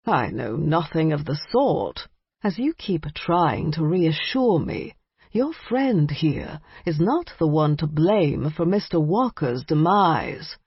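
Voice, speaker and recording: A disdainful male vampire aristocrat with a British accent sneers out formal courtesies, as a woman reading a story puts him on. The voice is husky and pitched a little low, and he speaks with measured contempt. The recording is dull and dry, with no room around it. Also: big pitch swings, no echo, full character voice. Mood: haughty.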